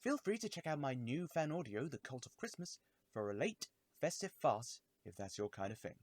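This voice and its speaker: A man's voice: mid-range voice, sing-song, normal speed, dry recording. A man delivers scripted commentary to viewers as a video host, leaning dramatically on key words. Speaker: YouTube reviewer and host.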